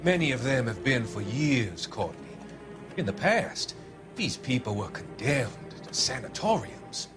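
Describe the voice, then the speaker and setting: Measured psychiatrist with swooping intonation. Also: male voice, medium pitch, very animated. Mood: calm, evasive. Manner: measured, deliberate, formal. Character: smooth, measured psychiatrist, mature man of quiet authority. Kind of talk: dramatic video game cutscene acting. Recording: music behind the voice.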